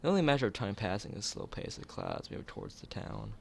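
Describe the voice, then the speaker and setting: A man reading a story game aloud in an expressive narrating style, occasionally stumbling over words. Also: lowish voice, fast, vocal fry, a little hiss or hum. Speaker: let's player reading a visual novel aloud.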